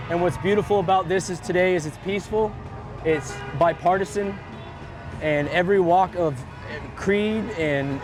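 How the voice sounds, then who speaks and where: Man, street interview, plain-spoken, restarts often, slightly high voice, music in background. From California. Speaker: protester interviewed at a rally. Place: outdoors on a city street.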